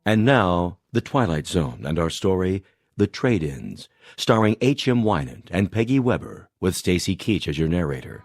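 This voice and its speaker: A man's voice: sits low, sing-song, moderate pace. A man announcing a radio drama in a scripted style.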